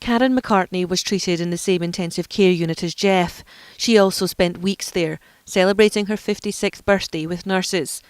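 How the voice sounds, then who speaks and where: A woman reporter reading scripted news narration in an even, measured way. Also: lowish voice, smooth, dry recording.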